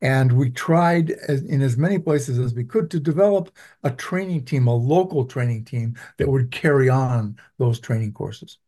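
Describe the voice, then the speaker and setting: Mellow, measured professor from Venezuela. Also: male voice, medium pitch. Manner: measured, explanatory, with frequent ums. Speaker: public health professor, guest. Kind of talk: interview answers.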